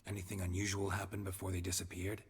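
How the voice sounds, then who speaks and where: An actor playing a terse, world-weary monster hunter in a video game, with a gravelly, breathy voice and clipped deadpan delivery. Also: male voice, sits low, quick pace, big-room sound. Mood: probing. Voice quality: very husky, gravelly near-whisper. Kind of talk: understated video game acting.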